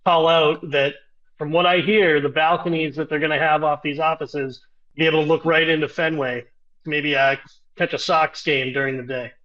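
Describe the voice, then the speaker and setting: A man's voice: a little high, fairly even intonation, moderate pace. A man in marketing talking in a friendly, enthusiastic way on a panel. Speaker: marketing lead. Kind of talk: casual panel talk. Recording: lo-fi call audio.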